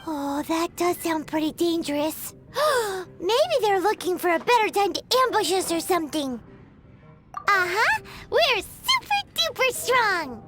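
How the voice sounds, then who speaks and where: Tiny fairy-like girl, chirpy, excitable, very high voice, lively pitch. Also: unhurried, heavily acted. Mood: excited. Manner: bubbly, excitable, talks of herself in third person. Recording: game audio with music.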